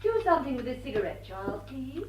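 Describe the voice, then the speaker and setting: High-voiced posh young lady, blunt. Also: very animated, fast, background noise. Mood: imperious, teasing. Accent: upper-class English. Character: blunt, teasing young aristocratic English lady. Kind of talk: British radio drama acting.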